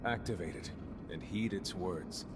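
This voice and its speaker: An actor playing a grave, world-weary, middle-aged master of a secret order in a video game, speaking in a measured, instructive way. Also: male voice, deep voice, noisy background. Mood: solemn, disillusioned.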